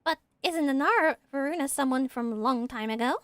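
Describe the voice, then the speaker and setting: A woman voicing a small, excitable, whiny and chirpy fairy girl companion from a video game, with dramatic exclamations. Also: sits high, a little fast, no room sound, close to an everyday voice. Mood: puzzled. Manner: exclamatory, dramatic, refers to herself by name.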